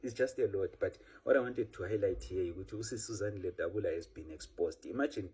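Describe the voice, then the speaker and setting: A man's voice: low-pitched, fast talker, warm tone, husky. A South African man giving casual, rambling news commentary, full of "eh" fillers and switching into Zulu.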